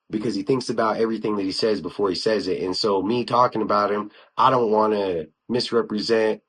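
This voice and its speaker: Low-voiced American man, casual and slangy. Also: husky. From United States (Wyoming). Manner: informal and slangy, with frequent conversational asides. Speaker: podcast host. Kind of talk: casual solo podcast talk, reviewing YouTube channels.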